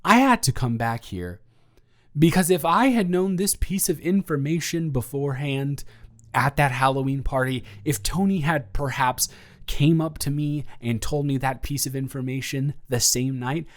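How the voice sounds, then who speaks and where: Man performing as lovestruck young male roommate, medium pitch, awkward, self-deprecating, earnest, stammering, intimate audio drama monologue. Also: normal speed, close to an everyday voice. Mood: earnest, yearning.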